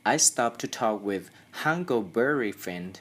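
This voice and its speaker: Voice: medium pitch, lively intonation, unhurried. A young reader with a Thai accent voicing a bold, mischievous schoolboy in an audiobook, eager and plain-spoken.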